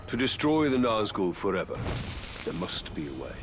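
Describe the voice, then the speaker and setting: An actor playing a grim, resolute human ranger in a video game, speaking tersely and dryly. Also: male voice, lowish voice, big pitch swings, moderate pace, slight background noise. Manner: terse, dry, determined. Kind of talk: dramatic video game dialogue.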